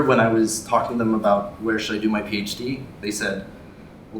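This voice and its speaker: A young man from Canada with a Canadian accent, giving a public maths lecture in a relaxed, friendly way with frequent ums. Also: lowish voice. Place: lecture hall. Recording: echoey hall from far off, faint noise.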